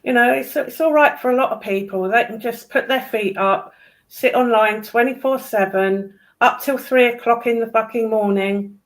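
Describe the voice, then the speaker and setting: A woman's voice: fairly high pitch, moderate pace, rough recording. A woman with a British accent chatting casually in a blunt, sweary, emphatic way. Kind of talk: casual chat.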